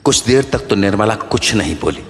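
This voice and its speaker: A man's voice: on the low side, animated, a little fast, a little hiss or hum. A man giving dramatic scripted narration of a horror story. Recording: long reverb under prominent music.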